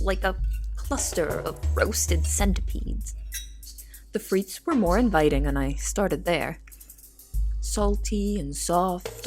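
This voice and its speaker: A woman acts as an earnest, curious young cook telling her story in an audio drama. Her voice sits a little low, and her wording is formal and reflective. Music plays clearly behind her. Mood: reflective, measured. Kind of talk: audio drama first-person narration and dialogue.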